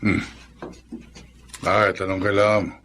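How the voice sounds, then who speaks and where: An actor playing a gruff, middle-aged farmer father with a gravelly voice in a radio drama. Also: on the low side, little pitch movement, normal speed, a bit bright, a little hiss or hum, lightly acted. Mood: subdued.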